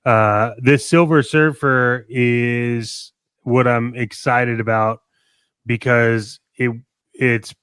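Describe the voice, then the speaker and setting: A man's voice: medium pitch, fairly even intonation, takes it slowly, a bit bright, clear, resonant tone, no echo. A man with a clean, resonant voice, recorded close and dry. He talks like an enthusiastic fan co-hosting a casual podcast, working out his thoughts aloud in a rambling way. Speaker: podcast co-host. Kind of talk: casual fan chat about toys and films.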